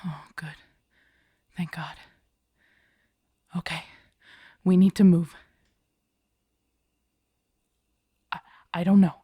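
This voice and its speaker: Casting: actress for protective zombie-apocalypse survivor, young woman, husky and dark-toned, hushed, halting, urgent, for intimate audio drama. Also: lowish voice, fairly even intonation, no echo, clean studio sound, exaggerated voice. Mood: anxious, shaken. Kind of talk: intimate audio roleplay acting.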